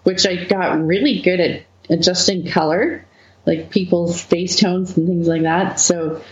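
A woman from Manitoba, Canada, a webcomic co-founder as the guest, talking through her past slowly with frequent laughs and fillers. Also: on the low side, echoey room, highs cut off. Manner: slow, laughing often, many fillers. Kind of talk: relaxed interview conversation.

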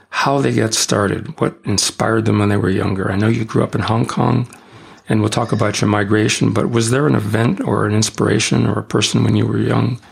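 A man hosting a podcast interview, introducing his guest and asking questions in a measured, formal way. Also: lowish voice, gravelly, breathy edge. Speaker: podcast host.

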